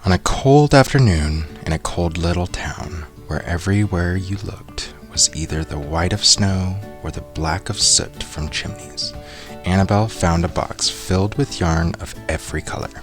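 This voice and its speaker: A man reading a children's picture book aloud in an expressive storytelling style, acting out the characters. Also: sits low, moderate pace, music in the background. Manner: expressive, acts out character voices.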